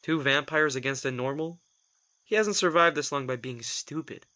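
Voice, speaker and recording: An actor playing a reluctant young male vampire in an audio drama, terse and quick to snap. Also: a little high, pushed and bright.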